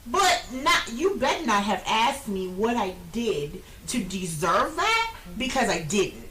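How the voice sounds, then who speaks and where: A woman's voice: medium pitch, big pitch swings, measured pace, a bit bright, low-quality recording. A middle-aged woman hosting a radio talk show, speaking earnestly and with emphasis in a small studio. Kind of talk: casual talk show chat. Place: small studio lounge, indoors.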